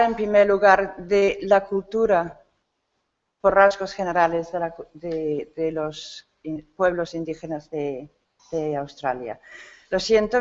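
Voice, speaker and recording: A woman delivers a lecture at a very unhurried pace. She halts, searches for the right word and corrects herself as she goes. The recording is a little dull. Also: fairly low pitch. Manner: halting, searching for words with self-corrections. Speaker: academic speaker at a symposium. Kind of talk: conference lecture. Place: conference hall.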